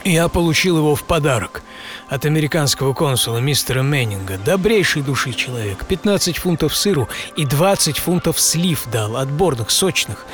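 A man playing a solitary, excitable and stubborn old-time American sea captain in a first-person audio drama, with theatrical delivery. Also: a little high, moderate pace. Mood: delighted. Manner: theatrical, swinging from murmur to shouting. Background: music bed.

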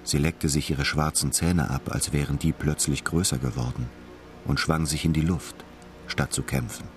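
A man's voice: extremely low pitch, fairly even intonation, moderate pace. A man delivering scripted literary narration as the narrator of a radio drama. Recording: studio voice over music.

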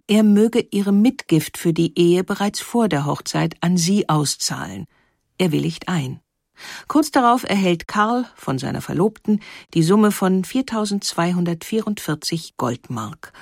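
A woman narrating a scripted historical documentary in a measured, clearly phrased storytelling manner. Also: fairly low pitch, expressive, a little mellow, dry recording, clean studio sound. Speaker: narrator of a historical audio feature.

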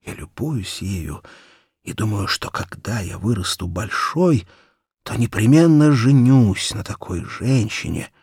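A man narrating a children's story in an expressive, theatrical first-person reading, moving between hushed and excited delivery. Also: lowish voice, a little slow, husky, no room sound, clean studio sound. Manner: theatrical, animated, swinging from hushed whispers to excited exclamation. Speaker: audiobook narrator.

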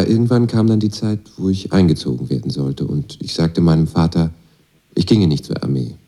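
A man's voice: deep voice, dark tone. An actor playing a disillusioned young pacifist student, telling his story in a laconic, matter-of-fact radio drama monologue. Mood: defiant. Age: young adult. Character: disillusioned young Moscow student, pacifist son of army father. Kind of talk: radio drama monologue, first-person testimony.

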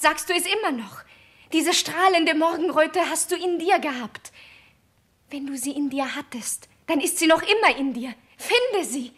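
A tender queen in a 1960s radio play. Her high voice is husky with breath, and she speaks lyrically and earnestly, imploring and consoling. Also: fairly bright tone, full character voice. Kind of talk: 1960s German radio drama acting.

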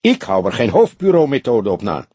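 A man's voice: medium pitch, huge pitch swings, moderate pace, warm tone, husky, no echo. A man voicing a grizzled older police detective in an audiobook, grumbling, scornful and blunt.

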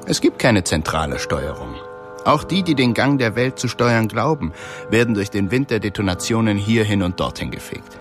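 An actor playing a cook in a windowless basement kitchen, delivering a solemn, brooding radio play monologue about the end of the world. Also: male voice, lowish voice, big pitch swings, moderate pace, over music. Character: male cook in windowless basement kitchen, grim prophet of doom.

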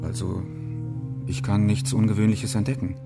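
An actor playing a calm, thoughtful companion of a religious reformer in a German radio play, measured and questioning. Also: male voice, lowish voice, creaky, music in the background. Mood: puzzled, reasoning.

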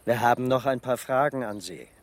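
An actor playing a sardonic, probing police detective in a radio crime drama, with a dry, needling manner. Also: male voice, mid-range voice, fairly quick, natural voice. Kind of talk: naturalistic German radio drama acting.

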